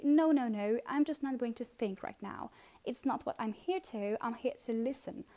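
A young woman with a French accent, talking through listening tips on her own podcast in a relaxed, chatty way with many fillers and repeated words. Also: high-pitched. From France. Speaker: podcast host. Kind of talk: casual solo podcast advice.

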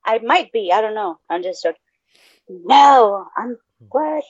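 A Mexican American woman from the Texas border co-hosting a casual podcast, excitable, laughing easily and swearing freely. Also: a little high, slow pace, highs cut off. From Texas border region, USA. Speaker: podcast co-host. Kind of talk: casual chat.

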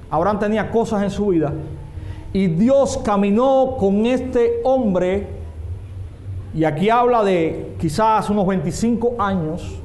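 High-voiced pastor in echoey room. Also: male voice, a little mellow. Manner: emphatic, asks the congregation questions. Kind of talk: sermon. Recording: echoey room with faint noise.

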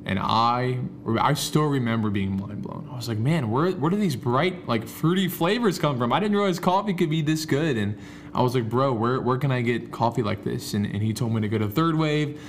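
Young man, American accent, medium pitch, casual co-host chat, slangy, playful, faint background noise. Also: animated, moderate pace. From Washington, D.C. area, United States. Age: young adult. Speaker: podcast co-host and barista.

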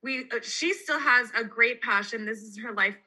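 A woman from Hawaii, a podcast guest, answering in a relaxed, halting way and laughing easily. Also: a little high. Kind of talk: casual interview answers.

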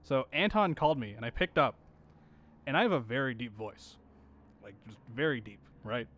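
A young man co-hosting a podcast, telling animated stories and laughing often. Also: mid-range voice, moderate pace. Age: young adult. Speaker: podcast co-host. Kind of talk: casual chat.

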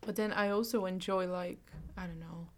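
A woman's voice: lowish voice, steady tone, normal speed. Young woman teasing in casual chat. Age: young adult. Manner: teasing, prompting questions. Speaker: podcast co-host.

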